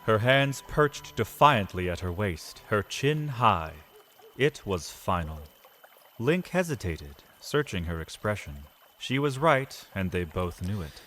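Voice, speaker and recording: A man delivering dramatic, measured literary narration as the storyteller of a scripted fantasy tale. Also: on the low side, big pitch swings, a bit bright, a little hiss or hum. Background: music.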